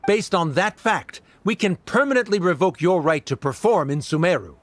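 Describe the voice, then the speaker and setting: A condescending academic official. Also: male voice, high-pitched, expressive, normal speed, no room sound. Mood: haughty. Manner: condescending, formal. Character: haughty male academic official, prosecutor. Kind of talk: game voice acting.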